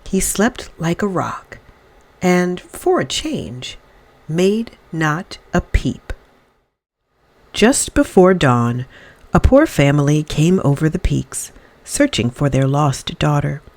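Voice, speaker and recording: A woman reading a children's story aloud in expressive, dramatic narration with deliberate phrasing. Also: deep voice.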